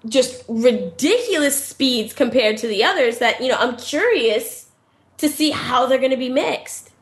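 A woman co-hosting a podcast, chatting casually and excitedly, swearing freely. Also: high-pitched, measured pace. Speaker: podcast co-host.

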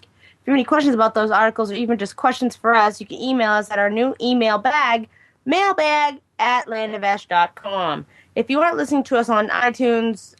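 A woman with a fairly high voice co-hosts a casual podcast. She reads out show details in a brisk, matter-of-fact way. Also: a bit bright. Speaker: podcast co-host. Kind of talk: casual podcast chat, reading show info.

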